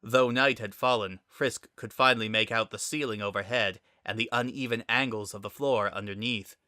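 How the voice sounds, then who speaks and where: Audiobook narrator: crisp bright tone, medium pitch, close dry sound, dramatic scripted delivery. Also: fairly even intonation, moderate pace, dry recording.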